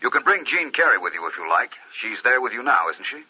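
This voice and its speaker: An actor playing a suave, shrewd gentleman private detective in an old-time radio mystery, polite, probing and dryly understated. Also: mid-range voice, very animated, fast, husky. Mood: confident. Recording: dull, muffled old radio recording.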